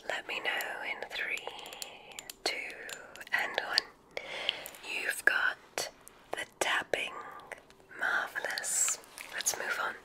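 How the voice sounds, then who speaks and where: A woman playing a gentle witch examiner in an ASMR roleplay, whispering in a soothing, deliberate, mysterious way. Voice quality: breathy, unvoiced whisper.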